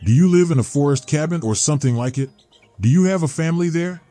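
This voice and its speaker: A man's voice: fairly high pitch, fast, mellow, soft background music, close to an everyday voice. A man playing a kindly, protective older man with a folksy, colloquial way of talking in a dramatized audio comic. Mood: calm, concerned. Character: kindly older homeless man, gruff but protective rescuer.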